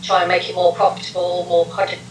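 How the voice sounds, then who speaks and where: A woman's voice: lowish voice, completely flat delivery, fast talker. Middle-aged London woman, echoey and distant. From London, England. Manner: friendly, hesitant with ums, lists things. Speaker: interview guest, personality test practitioner. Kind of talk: casual interview. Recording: echoey room, faint noise, off-mic.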